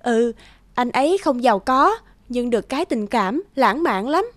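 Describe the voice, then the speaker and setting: A young woman voicing a sweet, loyal girl in love in an animated story, gentle and earnest. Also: a little high, normal speed, natural voice. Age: young adult.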